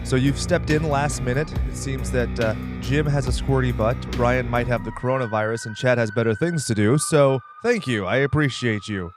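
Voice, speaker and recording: A man's voice: medium pitch, moderate pace, hardly any pauses, music in the background. A man hosting a podcast, chatting casually about movies in a joking, playfully theatrical manner. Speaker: podcast host. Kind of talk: casual movie review chat.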